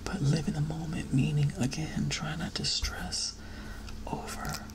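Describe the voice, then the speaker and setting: A man giving a soft-spoken, whispered ASMR ramble in a gentle, reflective manner with drawn-out phrasing. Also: on the high side, level tone. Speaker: ASMR video creator.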